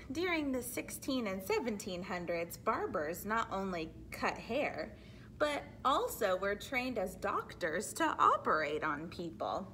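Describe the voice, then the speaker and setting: Slow, lively woman reading to children. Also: medium pitch, a little hiss or hum. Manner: simple teacherly explanations, expressive reading. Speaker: reader of a children's book. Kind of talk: read-aloud storytelling with explanatory asides for children.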